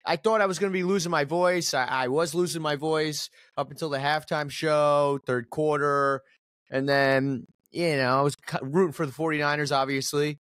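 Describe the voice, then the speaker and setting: A man's voice: a little high, steady tone, normal speed, fairly bright tone, dry recording, clean studio sound. A man co-hosting a casual sports podcast, reading promos in a sing-song way and rambling with restarts in the chat. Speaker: podcast co-host.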